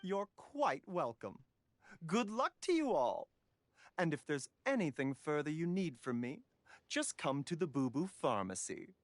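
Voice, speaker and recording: A man's voice: a little high, very animated, unhurried, no echo. A man voicing a courteous, composed scholarly pharmacist in a video game, polite and formal in manner.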